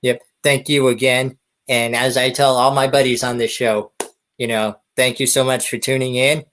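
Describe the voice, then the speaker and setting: Friendly male podcast host, medium pitch. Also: flat delivery, normal speed, fairly bright tone. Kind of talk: friendly, conversational podcast hosting.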